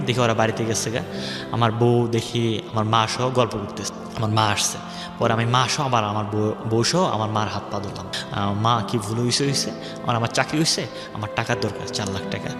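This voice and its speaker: A man's voice: medium pitch, steady tone, fairly quick. Man, heavily echoing voice. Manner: rambling, reenacts others' words in his telling. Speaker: guest telling his life story. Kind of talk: personal storytelling on a radio show. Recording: echoey hall-like reverb, music underneath. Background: background music.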